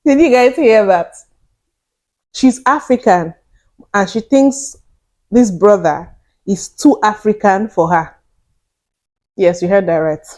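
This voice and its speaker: A young woman hosts her own reaction channel, speaking straight to her viewers. Her voice is mellow and warm at a middle pitch. She sounds animated and often incredulous. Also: takes it slowly, long pauses. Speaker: reaction vlogger. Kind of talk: casual commentary to camera.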